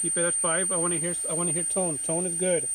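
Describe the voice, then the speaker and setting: A man's voice: high-pitched, flat delivery, quick pace, slight background noise, poor audio. A man giving an informal hands-on tutorial as a hobbyist, often repeating phrases as he explains. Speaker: radio repair hobbyist demonstrating.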